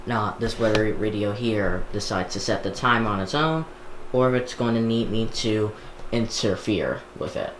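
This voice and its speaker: A man chatting casually and haltingly to himself as a hobbyist vlogger handling a device, recorded indoors. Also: fairly low pitch. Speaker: hobbyist vlogger unboxing gadgets. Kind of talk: casual unscripted chat while handling a device. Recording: echoey room, clear background noise.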